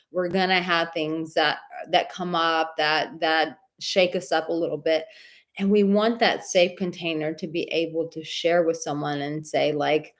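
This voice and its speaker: A woman with a slightly low, soothing voice hosts a live advice stream. She chats warmly and affectionately with viewers, reading out their comments with lots of casual filler words. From Czech heritage.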